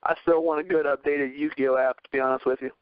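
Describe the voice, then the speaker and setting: A man's voice: fairly high pitch, fairly even intonation, fast talker, smooth, dry recording, lo-fi sound. A man co-hosting a podcast, chatting and plugging casually. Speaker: podcast co-host. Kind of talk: casual chat and channel plug.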